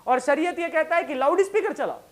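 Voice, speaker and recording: High-pitched, animated man, combative commentator. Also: a little fast. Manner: combative, rhetorical questions, colloquial and emphatic. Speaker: show host, political commentator. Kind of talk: impassioned opinion monologue.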